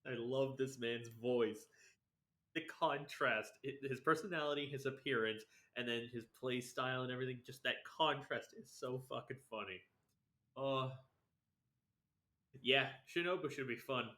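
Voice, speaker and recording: A man's voice: mid-range voice, moderate pace, bright, forceful tone. A young man giving casual, sometimes sweary livestream commentary as he plays a game. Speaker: game streamer. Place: indoor room. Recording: echoey room.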